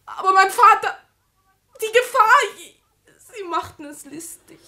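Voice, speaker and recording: Pious young woman in despair, high-pitched, piercing, pleading, 1950s radio drama. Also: expressive, a little slow, pushed and bright, exaggerated voice. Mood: horrified, pleading. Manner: impassioned, pleading. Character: innocent dying young woman, pious and desperate. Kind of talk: 1950s German radio play acting.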